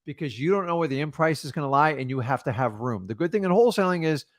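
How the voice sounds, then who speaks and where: A man's voice: fairly high pitch, animated, quick pace. A man co-hosting a real estate talk, emphatic and fond of acting out quoted lines. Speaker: real estate investor and co-host. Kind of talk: casual podcast chat.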